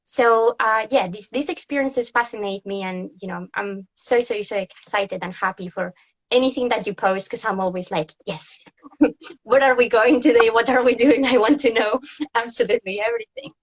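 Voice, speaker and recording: A woman's voice: a little high, moderate pace, low-quality recording. A woman hosting a casual interview as a tour guide and food historian, chatty and laughing often.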